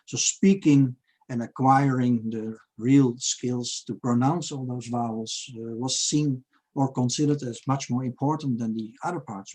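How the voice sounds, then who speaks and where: A man in his sixties with a Dutch accent, giving a halting academic seminar lecture over a video call and reading out quoted passages. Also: medium pitch, warm tone. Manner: halting, many fillers, reads Spanish quotes aloud.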